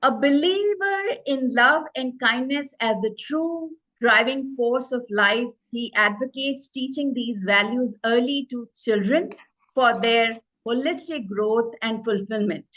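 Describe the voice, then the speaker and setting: A woman introduces a guest over a video call, formal and a little halting. Her voice sits fairly high. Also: a bit bright, poor audio. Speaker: host, moderator.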